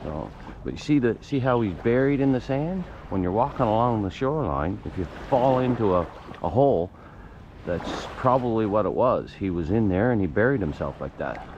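Male fishing channel host, folksy and halting, unscripted vlog talk, clearly noisy recording. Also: lowish voice, lively intonation, a little mellow, lo-fi sound. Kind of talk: casual fishing vlog narration. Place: garage, outdoor beach and shoreline, varied spots.

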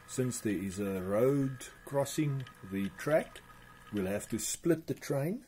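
A man narrating a hobby video in measured, broken phrases with pauses. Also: on the low side, mellow. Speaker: model railway hobbyist narrator. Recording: clearly noisy amateur recording.

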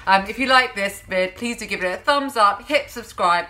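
A woman's voice: medium pitch, moderate pace, pushed and bright, a little hiss or hum. A woman in her thirties hosting a playful storytime, reading aloud with theatrical, exaggerated emphasis and chatty asides. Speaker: parenting vlogger, host. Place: small room at home.

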